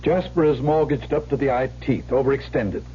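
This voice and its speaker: A man's voice: mid-range voice, fairly quick, slight background noise, lightly acted. An actor playing a blunt, fatherly middle-aged family lawyer in a radio drama. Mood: grim, blunt. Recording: dull, humming old radio recording.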